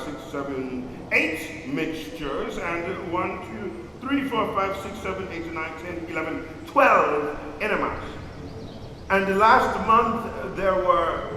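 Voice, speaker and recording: Picture a pompous, self-pitying male hypochondriac in a stage comedy, grumbling and scolding in a high, piercing voice. It rings around a very echoey hall with faint noise behind it. He is querulous and theatrical in his delivery. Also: distant mic. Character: fussy, irascible, self-pitying male hypochondriac master of the house.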